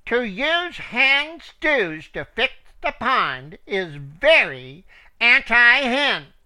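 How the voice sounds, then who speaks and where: A man's voice: very high-pitched, very animated, extremely slow, bright, forceful tone, a little hiss or hum, pitched above the performer's own voice, heavily acted. A man voicing a stern, self-righteous chicken judge handing down a ruling in a rhyming story.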